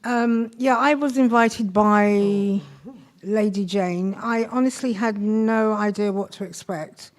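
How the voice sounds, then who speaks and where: A woman's voice: medium pitch, steady tone, takes it slowly. A woman from Nigeria, a nurse, introducing herself at a seminar; shy and hesitant, tired after a night shift. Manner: shy, hesitant, many ums. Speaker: nurse and community association secretary. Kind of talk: speaking in a seminar introduction round.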